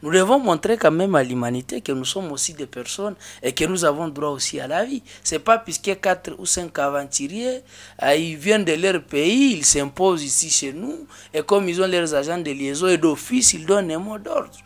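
Earnest Congolese man, slightly high voice. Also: expressive, normal speed. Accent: Congolese French. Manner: earnest, with frequent restarts and hesitations. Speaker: interview guest. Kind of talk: studio interview. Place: small indoor studio. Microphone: desk microphone on a boom arm.

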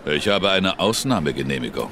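A man playing a smug, menacing gentleman in a radio drama, cool and condescending. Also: low-pitched, sing-song, brisk, crowd noise, background noise. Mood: smug, threatening. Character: smug, menacing gentleman bluffing past a policeman.

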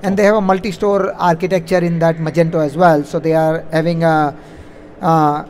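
High-pitched man, rambling conference speaker. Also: flat delivery, moderate pace, darkish tone. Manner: wordy, repeats "like, you know" as a verbal habit. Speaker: conference speaker, software implementation consultant. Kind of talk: answering audience questions at a conference. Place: on stage in a large conference hall. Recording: faint background noise, live event.

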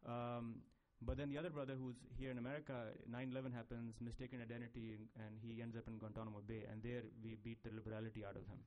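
A man's voice: medium pitch, flat delivery, fast talker, hardly any pauses, dull audio. A man on a conference panel, talking with many fillers and restarts about making a film.

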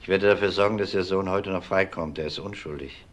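Deep-voiced, measured detective played by a man. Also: fairly even intonation, lightly acted. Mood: measured, probing. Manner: measured, matter-of-fact questioning. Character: calm, dogged male police detective. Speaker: actor. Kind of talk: German TV crime drama acting.

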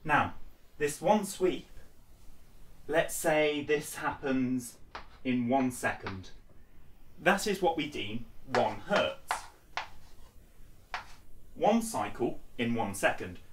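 A man's voice: medium pitch, expressive, slow, pauses often, a little hiss or hum. A man in his twenties informally explaining a lesson step by step, as an instructor at a blackboard. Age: 20s. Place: small bare indoor room. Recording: echoey room, distant microphone.

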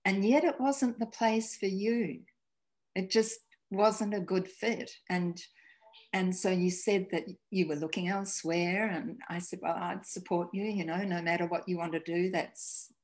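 A woman in her sixties from New Zealand, a school principal telling stories in a video-call interview, halting and restarting. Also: fairly low pitch, fairly even intonation. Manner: warm storytelling, halting restarts. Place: home room, indoors. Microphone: video call webcam.

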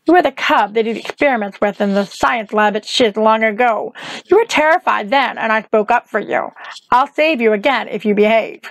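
A woman's voice: moderate pace, no echo, natural voice, rough recording. A woman voicing a weary, imperious witch with a commanding, sardonic and menacing manner in an audiobook. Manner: low, even, commanding. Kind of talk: audiobook character acting.

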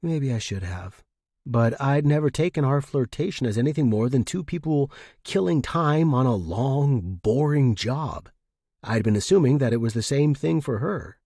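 A man with a husky voice reading first-person short fiction as an expressive narrator. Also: medium pitch, normal speed, dry recording, clean studio sound.

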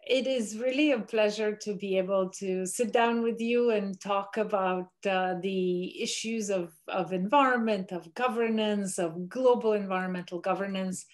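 A middle-aged woman from Bulgaria, a professor speaking as an interview guest over a video call, measured and thoughtful with frequent fillers. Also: medium pitch. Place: home room with window blinds.

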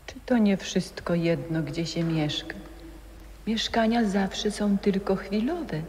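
A calm old friend, once a singer, speaks slowly and solemnly with an uncanny stillness. Every line is measured and unhurried. The old radio play recording adds a long, hall-like reverb. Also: female voice, medium pitch, slight background noise. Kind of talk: 1960s radio play acting.

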